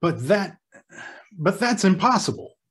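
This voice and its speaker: An earnest hospital doctor in charge, played by a man, straight out of an old-time radio drama. His slightly high voice moves in lively swings, and he stumbles and repeats himself, rattled. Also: measured pace. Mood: startled, flustered. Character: flustered, earnest male hospital superintendent, a doctor.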